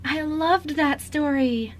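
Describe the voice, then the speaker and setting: A woman playing an eager, lonely five-year-old girl in an amateur radio drama, earnest and slightly halting. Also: high-pitched. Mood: delighted. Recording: noisy amateur radio recording.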